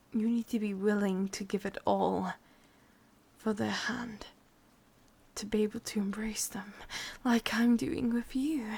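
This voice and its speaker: Sadistic lovesick witch played by a woman, English accent, breathy whispered ASMR roleplay, creaky edge, slow, menacingly tender. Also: medium pitch, darkish tone. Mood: soothing, menacing. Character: sadistic, possessive yandere witch, a lovesick hopeless romantic.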